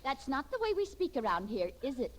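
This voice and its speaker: A woman's voice: high voice, big pitch swings, fairly quick. A woman playing an exasperated mother who scolds her unruly boy, in 1940s radio comedy style. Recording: noisy vintage radio recording with clicks.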